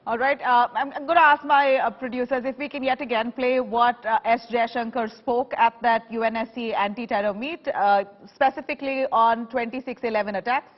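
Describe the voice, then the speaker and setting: A woman's voice: fairly high pitch, fairly even intonation, a little fast, few pauses, big-room sound, dull audio. A woman with an Indian accent anchoring live television news.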